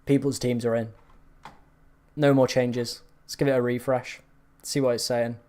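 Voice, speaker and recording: A man hosting a live stream, chatting casually and reacting to viewer comments, his voice slightly hoarse from hours of talking. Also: medium pitch, little pitch movement, a little slow, darkish tone.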